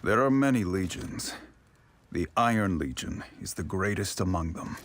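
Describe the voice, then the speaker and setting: An actor playing a sombre warden knight, narrating his war in a grave, measured way for a game cutscene. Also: deep voice, lively intonation, a little hiss or hum.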